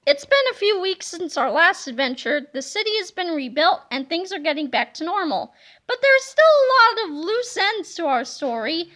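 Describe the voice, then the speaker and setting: A woman reading a children's comic book aloud in a playful, animated way, slipping into silly voices. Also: extremely high pitch, moderate pace. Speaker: reader.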